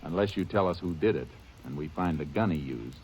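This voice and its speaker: A man's voice: extremely low pitch, animated, a little fast, a little mellow. An actor playing a terse, commanding Texas Ranger lawman in a 1950s radio western, on a noisy old recording. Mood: firm, pressing. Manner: terse, authoritative. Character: steady, commanding Texas Ranger lawman who narrates his case. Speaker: lead actor and narrator.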